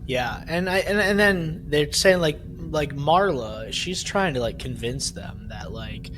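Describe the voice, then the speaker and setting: A man's voice: fairly high pitch, animated, unhurried, a bit bright, a little hiss or hum. A man co-hosting a casual podcast discussion, retelling things conversationally and acting out paraphrased quotes. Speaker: podcast co-host.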